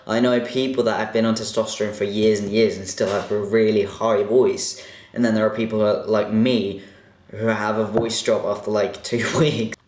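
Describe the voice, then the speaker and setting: Chatty young man in echoey room. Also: on the low side. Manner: chatty, self-conscious, lots of fillers. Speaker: vlogger. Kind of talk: casual Q&A vlog.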